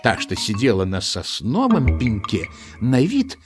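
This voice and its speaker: A man narrating a children's audio play in an expressive storytelling style. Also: lowish voice, measured pace. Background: music.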